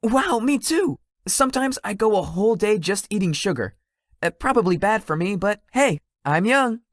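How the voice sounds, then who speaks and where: A woman's voice: mid-range voice, animated, normal speed, dry recording, studio quality, lightly acted. A woman voicing a shy, polite young male tech executive in a visual novel, slightly formal and hesitant in manner. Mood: relaxed, friendly. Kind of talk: visual novel character dialogue.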